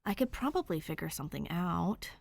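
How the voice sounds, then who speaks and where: A woman reading plays a teenage boy who flares into outraged, shouty protest over his sister. His voice leaps about, and the sound is close and dry. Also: fairly low pitch, big pitch swings, brisk, creaky, no echo, heavily acted. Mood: earnest. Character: teenage boy, protective big brother, excitable.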